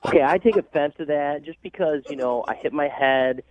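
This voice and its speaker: A man's voice: on the high side, fairly quick, dry recording. A man calling into a radio show, talking casually in a hedging, self-justifying way. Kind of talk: casual phone conversation. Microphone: telephone. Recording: tinny narrow phone line.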